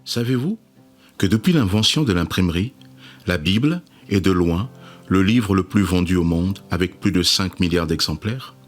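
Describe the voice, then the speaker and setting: Male narrator, very low voice, measured promo read over soft music. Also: lively intonation. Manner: measured, declamatory.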